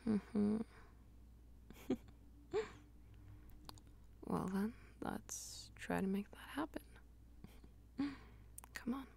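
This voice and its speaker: A woman playing a dominant, teasing female vampire lover in an intimate ASMR roleplay, coaxing and breathy, often dropping toward a whisper. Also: mid-range voice, expressive, dark tone, a little hiss or hum, exaggerated voice. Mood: seductive, teasing. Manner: slow, coaxing, teasing pet names.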